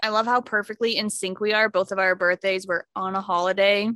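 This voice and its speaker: A woman's voice: mid-range voice, flat delivery, fairly quick, bright, forceful tone, no echo, clean studio sound. A woman hosting a podcast, chatting in an upbeat, encouraging way and laughing easily. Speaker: podcast host. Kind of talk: casual chat.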